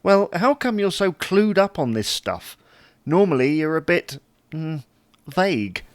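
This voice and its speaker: A man's voice: fairly high pitch, big pitch swings, a little slow, no echo. A British man playing an easygoing, slightly hapless husband, mildly defensive, in an audio sitcom. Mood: querying. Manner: mild, querying, gently defensive. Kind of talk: audio sitcom acting, domestic dialogue.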